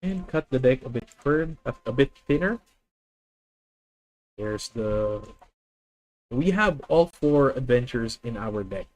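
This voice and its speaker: A man's voice: medium pitch, animated, slow, pauses often, a little mellow, poor audio. A man with a Filipino accent streaming a card game, methodically thinking aloud and correcting himself as he plans each move. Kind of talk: live deck-test commentary.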